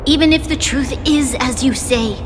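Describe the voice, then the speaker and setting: An actress playing an earnest, dutiful young daughter in a dramatic game cutscene, formal and pleading. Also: female voice, high-pitched, moderate pace, lightly acted. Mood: troubled, pleading. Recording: long hall reverb, music behind.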